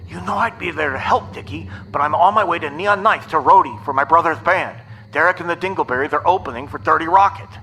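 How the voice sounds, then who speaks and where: A man's voice: a little high, quick pace, sharp tone. A man playing a nerdy, pedantic boy sidekick in an audio story, talking dramatically over a tinny radio. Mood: pedantic, exasperated. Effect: tinny radio transmission. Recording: tinny walkie-talkie with faint noise.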